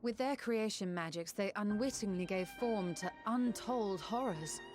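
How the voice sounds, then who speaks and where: An actress playing a thoughtful, solemn sage in a fantasy game, with formal, reflective delivery. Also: female voice, mid-range voice, measured pace, music in the background, lightly acted. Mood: solemn, pondering.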